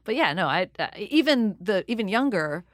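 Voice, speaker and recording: A woman's voice: mid-range voice, normal speed, no echo, clean studio sound. A woman in her forties, an actor and guest, chatting casually and jokingly about her work in an interview. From Detroit and Turin. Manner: animated, jokey, acts out quoted voices.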